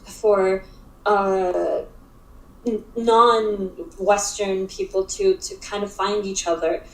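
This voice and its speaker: A young woman from Africa talks as an interview guest, her sentences stopping and restarting around frequent fillers. Her pitch sits in an ordinary middle range. The recording carries a noticeable room echo. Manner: halting, filler-heavy speech with frequent restarts. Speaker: interview guest, digital art expert. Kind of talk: casual conversational interview. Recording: roomy echo.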